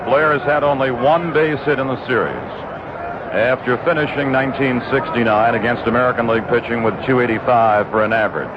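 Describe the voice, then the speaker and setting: A man calling live baseball play-by-play for radio, with a steady, measured delivery full of statistics. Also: medium pitch. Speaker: play-by-play announcer. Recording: muffled old 1960s radio broadcast with noise.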